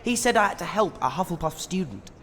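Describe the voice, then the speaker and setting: An actor playing an earnest, polite teenage schoolboy hero in a video game, with a plain English accent. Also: male voice, high-pitched, expressive, fast, fairly bright tone, a little hiss or hum. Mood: curious. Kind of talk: video game cutscene acting. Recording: hall reverb, game music behind.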